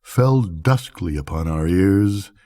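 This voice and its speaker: An older man reading a gothic bedtime story aloud in a solemn, measured, theatrical manner. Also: low-pitched, expressive, warm tone. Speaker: storybook reader.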